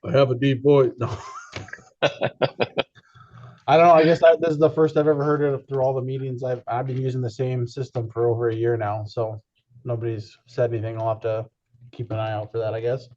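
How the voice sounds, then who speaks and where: A man's voice: medium pitch, flat delivery, normal speed, a little mellow. A man chatting informally in an online meeting through a muffled webcam microphone. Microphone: webcam microphone on a computer.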